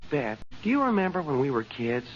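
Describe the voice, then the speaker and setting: An actor playing an earnest, sensitive young son in an old-time radio drama. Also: male voice, fairly high pitch, big pitch swings, fairly quick. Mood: wistful. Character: earnest, sensitive young son worried about his father. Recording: muffled old radio recording, faint noise.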